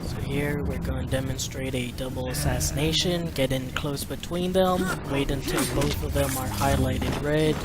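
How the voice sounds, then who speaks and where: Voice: medium pitch, steady tone, normal speed, hardly any pauses, very noisy. A person giving casual commentary and walkthrough on a video game they are playing. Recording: game music behind the voice. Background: video game music and sounds.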